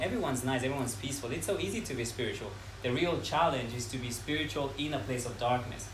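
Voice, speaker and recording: A man in his thirties from Ecuador, giving an earnest, unhurried talk to a live audience and listing ideas one by one. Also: mid-range voice. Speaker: speaker at a talk. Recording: echoey room from far off, noisy.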